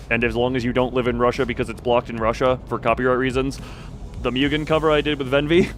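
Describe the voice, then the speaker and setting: A man hosting a casual game livestream in a mid-range voice. He rambles playfully in loose asides, with faint game music behind him. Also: medium pitch. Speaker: livestreamer playing and voicing a game. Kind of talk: casual live stream chat.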